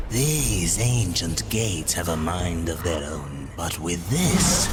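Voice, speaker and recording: A greedy demon merchant for a video game. The voice is low and swoops theatrically, drawing out every sly, mocking word very slowly over music. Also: male voice, exaggerated voice. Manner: slow, theatrical, insinuating and mocking. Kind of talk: video game cutscene acting.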